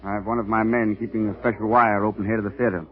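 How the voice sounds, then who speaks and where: An actor playing a blunt police commissioner in old radio drama, clipped and matter-of-fact. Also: male voice, fairly low pitch, flat delivery, racing, close to an everyday voice. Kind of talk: 1940s radio drama acting. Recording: tinny old radio, phone-like band.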